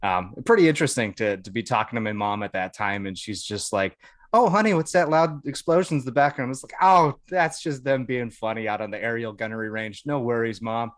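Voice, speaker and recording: A man's voice: mid-range voice, a little fast, dry recording, clean studio sound. An American man telling anecdotes casually as a podcast guest, in a colloquial, amused way. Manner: colloquial, amused, chuckles through stories. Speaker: podcast guest, Air Force veteran.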